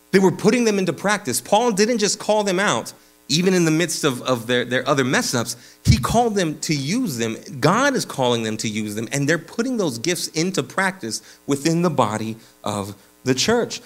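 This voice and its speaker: A pastor preaching a sermon in a church, casual and energetic, with slang and frequent restarts. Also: male voice, fairly high pitch. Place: church sanctuary.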